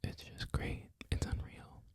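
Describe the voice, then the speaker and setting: An ASMR creator whispering a loose, rambling talk, full of "like" and "um" fillers. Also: a little slow. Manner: soft whisper throughout, frequent "like" and "um" fillers.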